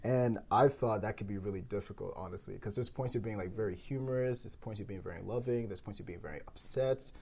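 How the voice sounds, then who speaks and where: A woman's voice: rumbling low, quick pace, few pauses, dark tone. A woman hosting a casual round table discussion as a podcast host and writer. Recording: faint background noise and room ambience.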